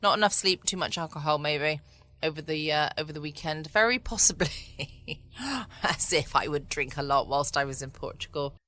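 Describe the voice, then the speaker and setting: A woman's voice: low-pitched, normal speed, sharp tone. A woman hosting a casual bedtime podcast from home. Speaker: podcast host. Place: at home, indoors.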